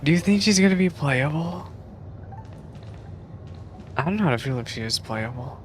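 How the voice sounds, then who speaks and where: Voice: medium pitch, expressive, moderate pace, slight background noise. A streamer giving casual, playful commentary on a game, wryly echoing its lines. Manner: playful, wry, echoes the game's lines.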